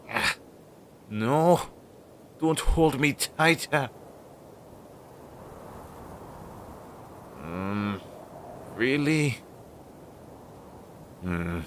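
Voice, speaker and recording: Man voicing an anxious, slow-talking crow familiar, noisy audio. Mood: flustered, indignant. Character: anxious, devoted male crow familiar of a witch. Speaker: voice actor in audio roleplay. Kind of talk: intimate second-person audio roleplay acting. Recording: low-quality recording with clear noise.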